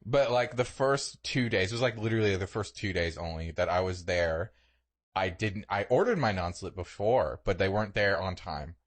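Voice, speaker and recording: A man's voice: deep voice, moderate pace. A young man co-hosting a podcast, telling stories in casual comedic chat with exaggeration and casual swearing. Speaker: podcast co-host.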